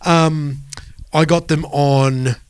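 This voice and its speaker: A man's voice: fairly high pitch, steady tone, extremely slow, smooth. A man with an Australian accent talking casually as a podcast host, informal and emphatic, with some swearing. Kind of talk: casual chat.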